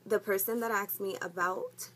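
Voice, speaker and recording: A woman's voice: medium pitch, fairly even intonation, moderate pace, fairly bright tone, slightly rough, low-quality recording. A woman, chatting casually to camera at home as a vlogger, rambling with lots of ums and likes. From the Bronx, New York. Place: at home, indoors.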